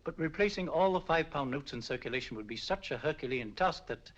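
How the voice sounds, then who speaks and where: An actor playing a formal, grave official in a 1940s film. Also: male voice, a little high, animated, fast talker. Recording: dull lo-fi 1940s film soundtrack.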